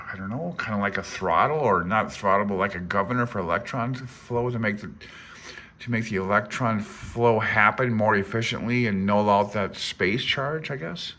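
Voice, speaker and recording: Low-pitched, hesitant middle-aged man. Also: pushed and bright. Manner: hesitant, self-deprecating, thinking aloud. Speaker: amateur radio hobbyist explaining a handbook. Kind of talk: informal read-along tutorial, alternating reading aloud and commentary.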